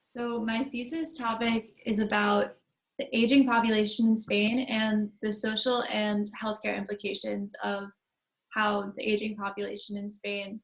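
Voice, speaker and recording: Young female student from New York, level and even voice, casual with hedging fillers. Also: mid-range voice, measured pace, rough recording. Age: young adult. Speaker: university student.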